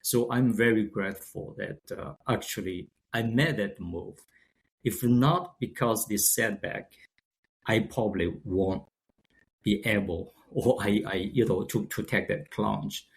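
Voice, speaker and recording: A man in his sixties with a Chinese accent, his first language Shanghainese, speaking as an interview guest. His fairly low voice has a gravelly edge. He talks haltingly, stopping and restarting as he reaches for words. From China. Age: 60s. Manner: halting, many restarts and fillers. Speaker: guest, company founder. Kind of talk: casual interview talk.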